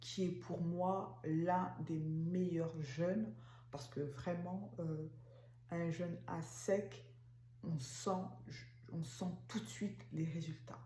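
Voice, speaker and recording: A woman in her forties from France, talking slowly and haltingly with many fillers in a personal vlog. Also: fairly low pitch, steady tone, warm tone, roomy sound, a little hiss or hum. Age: 40s. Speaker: vlogger, massage therapist. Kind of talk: casual personal vlog talk.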